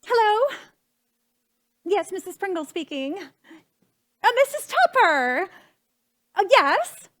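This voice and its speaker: A woman playing an excitable, overwrought society hostess and mother in a stage comedy, exclamatory and given to repeating herself. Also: very high-pitched, full character voice. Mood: flustered. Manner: melodramatic, exclamatory, repeats phrases. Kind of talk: theatrical stage comedy acting.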